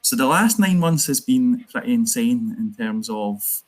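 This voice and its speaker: A man's voice: mid-range voice, lively intonation. A man with a Glasgow accent, speaking earnestly as a union organiser on an online panel. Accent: Glaswegian. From Scotland. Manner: earnest, campaigning, quick asides.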